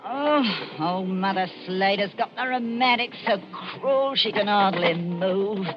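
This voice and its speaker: Scolding old hag played by an actress, grumbling, sharp-tongued, 1940s radio play, muffled noisy recording. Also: female voice, medium pitch, slow pace, lightly acted. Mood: muttering, impatient. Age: older. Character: scolding old crone, mother of a body-snatching household.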